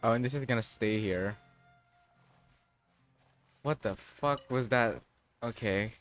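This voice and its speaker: A man's voice: medium pitch, takes it slowly, faint music behind. A man livestreaming a game with casual, blunt, sweary, laid-back commentary.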